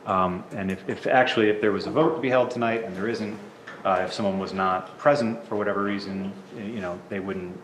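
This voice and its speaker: Low-voiced man explaining procedure in an echoey room. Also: fairly even intonation, brisk, slight background noise. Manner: explanatory, casual with fillers. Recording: echoey council chamber.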